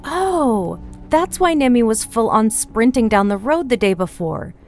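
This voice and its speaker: A woman's voice: fairly high pitch, animated, measured pace, darkish tone, a little hiss or hum. A woman playing a curious, teasing friend in a fantasy audiobook, speaking in a confiding, inquisitive way. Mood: chatty, recalling. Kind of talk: fantasy audiobook dialogue over music.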